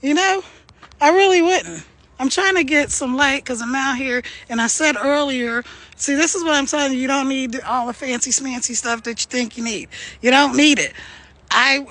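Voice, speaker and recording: A woman with a gravelly voice, talking casually to her phone camera while out walking, upbeat and chatty with frequent laughs. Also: sits high, moderate pace, a bit bright. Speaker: online business vlogger. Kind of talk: casual direct-to-camera pitch. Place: outdoors, walking. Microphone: phone held by hand.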